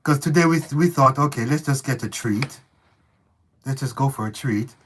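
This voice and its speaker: A man whose voice has gone hoarse and strained since surgery left one vocal cord not working, chatting casually on a live stream and telling stories to viewers. Talking hurts him. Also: mid-range voice, normal speed, slight background noise. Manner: relaxed storytelling, addresses viewers as guys. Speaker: co-host of family channel.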